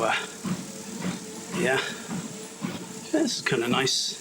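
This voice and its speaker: A man's voice: medium pitch, poor audio. An Irish man talking to himself in a loose, wandering monologue while walking on a treadmill, sniffly, with self-mocking asides. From Ireland. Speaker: podcast host. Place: home, on a treadmill. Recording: background music under voice.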